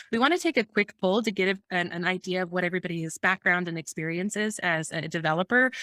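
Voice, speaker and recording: A woman hosting an online webinar, with a slightly low voice. She talks in a light, easygoing way. The recording is dry. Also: fairly bright tone, no room sound. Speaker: webinar host. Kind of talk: moderating a webinar.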